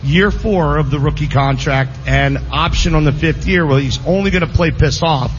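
A man's voice: on the high side, normal speed, dull audio. A man hosting live sports radio, hammering his points by repeating words. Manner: emphatic, repeats phrases for stress. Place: live venue broadcast.

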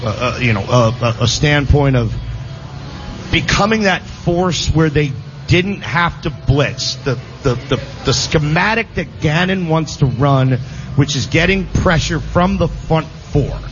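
A man's voice: a little high, animated, unhurried. A man hosting a sports talk show, talking casually with many restarts and fillers. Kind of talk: casual sports radio chat. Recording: slightly muffled broadcast audio. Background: music in the background.

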